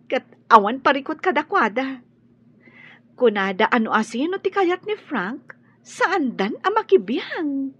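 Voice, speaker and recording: High-pitched, unhurried coaxing girlfriend confidante. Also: very animated, fairly bright tone, husky, no echo, highs cut off, full character voice. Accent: Filipino. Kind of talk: Ilocano radio drama acting.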